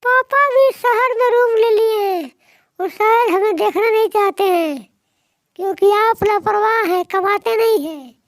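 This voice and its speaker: Woman acting a headstrong young daughter, cartoon, very high voice, unhurried, pleading and scolding. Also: no echo, clean studio sound. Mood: reproachful. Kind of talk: Hindi moral-story cartoon dialogue.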